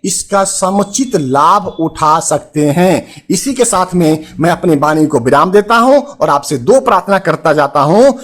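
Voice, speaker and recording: A man with an Indian accent hosting a finance advice channel, addressing viewers formally and emphatically, repeating phrases for stress. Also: sits high. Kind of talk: presenter addressing viewers with a consumer alert.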